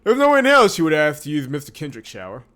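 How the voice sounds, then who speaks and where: A man hosting a visual novel playthrough, chatting casually and reading the story aloud in an expressive way with wry asides. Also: a little high, fast talker. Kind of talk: casual let's-play commentary with read-aloud narration.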